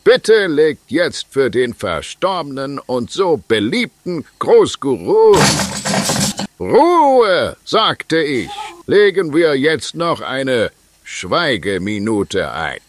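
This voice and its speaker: A man's voice: medium pitch, sing-song, slow pace, slight background noise. A man playing a pompous, easily flustered guru who addresses his followers in a declamatory, mock-solemn way in a comedic radio play. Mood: exasperated, pleading. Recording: loud crowd behind the voice.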